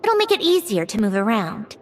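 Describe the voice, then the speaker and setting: A woman's voice: fairly high pitch, big pitch swings, a little fast, heavy background noise. A woman voicing a clever, composed, slightly awkward young woman in a video game, polite and earnest. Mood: focused. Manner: polite, earnest, hesitant at times.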